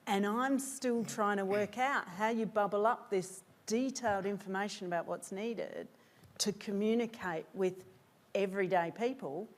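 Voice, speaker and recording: A woman answers a live panel's questions about her venture. She talks in halting bursts full of fillers and restarts, at a middle pitch. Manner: hesitant, many fillers and restarts. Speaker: pitching founder.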